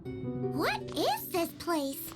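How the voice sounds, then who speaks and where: A woman voicing a small, excitable, cheeky fairy companion with a squeaky childlike voice in a video game. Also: very slow, heavily acted. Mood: curious. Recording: loud music under the voice.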